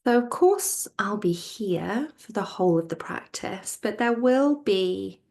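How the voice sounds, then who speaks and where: A woman with a mid-pitched, soft voice leads a guided relaxation. She speaks slowly and soothingly, leaving space between phrases. Her words often sink gently toward a near-whisper. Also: sing-song, gravelly. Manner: soothing, gentle, unhurried with pauses. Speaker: yoga nidra teacher.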